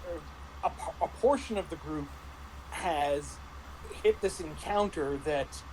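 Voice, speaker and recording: Halting man talking over background noise. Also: on the high side, a little mellow, low-quality recording. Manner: halting, thinking aloud. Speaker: tabletop game master and podcast panelist. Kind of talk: casual panel discussion about roleplaying games.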